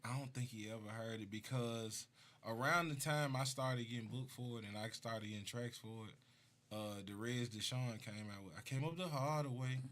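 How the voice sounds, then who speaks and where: A man's voice: medium pitch, normal speed, a bit bright, slight background noise. A man from Mississippi with a Southern US accent, a rapper telling stories casually as a guest on a talk show. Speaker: rapper being interviewed.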